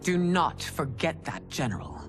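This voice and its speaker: Stern regal empress, lower-pitched. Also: female voice, expressive, over music. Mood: stern, reproving. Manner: formal, commanding, measured. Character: proud, stern, regal empress of a fantasy realm. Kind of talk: cinematic video game acting.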